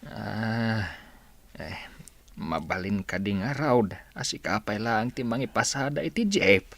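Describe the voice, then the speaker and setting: A man's voice: on the low side, animated, a little slow, gravelly, a bit bright, dry recording, heavily acted. A man acting in a radio drama as a frank, practical middle-aged uncle. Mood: frank, concerned.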